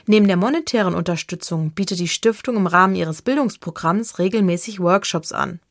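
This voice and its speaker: Woman reporter, measured feature narration, gravelly edge, dry studio mic. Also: fairly low pitch, dry recording. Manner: measured, even reading. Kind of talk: scripted radio feature narration.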